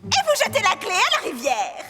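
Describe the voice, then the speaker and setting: A woman's voice: very high-pitched, huge pitch swings, moderate pace, exaggerated voice. A woman playing a haughty, cruel impostor princess in a dubbed fairy-tale cartoon, imperious and theatrical. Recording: long reverb, music behind.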